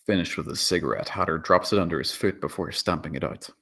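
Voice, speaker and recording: A man's voice: low voice, quick pace, vocal fry, fairly bright tone. A man narrating stage directions in a dramatic screenplay table read.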